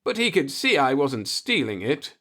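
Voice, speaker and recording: A man's voice: medium pitch, sing-song, normal speed, sharp tone. A man voicing an eager, self-confident, cultured man from another galaxy in a science fiction audiobook. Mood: confident, insistent.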